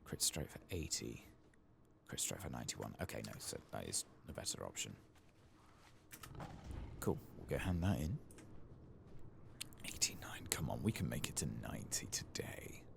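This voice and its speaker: A man with an extremely low voice gives hushed, whispery commentary over a game. His speech is gravelly and relaxed, drifting as he thinks aloud in a soft ASMR style. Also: normal speed, long pauses. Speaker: gaming video host.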